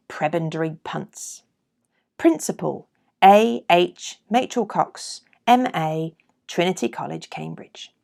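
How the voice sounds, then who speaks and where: A woman reading old letters and adverts aloud in a deliberate, measured way, as a podcast host. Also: lowish voice, vocal fry.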